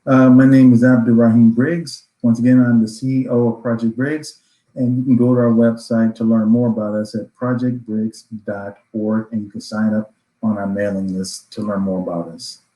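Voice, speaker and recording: In an echoey room, a man with a very dark, mellow voice introduces himself as a panel guest. His delivery is flat and even as he plugs his organization. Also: medium pitch, normal speed. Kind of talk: brief self-introduction and plug.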